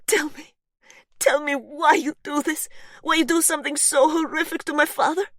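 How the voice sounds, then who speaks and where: A woman's voice: high-pitched, unhurried, no room sound, clean studio sound, voice pushed up. Woman doing a fierce Polish-accented young avenger. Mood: tearful. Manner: shouting, fierce. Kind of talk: audiobook reading.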